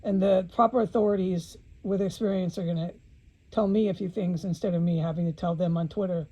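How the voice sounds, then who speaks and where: A woman's voice: on the low side, steady tone, brisk, dark tone, highs cut off. A woman speaking in an informal video monologue, blunt and agitated, swearing often and repeating phrases for emphasis, with an aggrieved manner.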